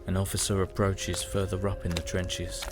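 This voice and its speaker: An actor playing a weary young British soldier of the First World War in a sombre, reflective audio drama monologue. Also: male voice, low voice, level tone, normal speed, close to an everyday voice. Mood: quiet, wistful. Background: prominent music.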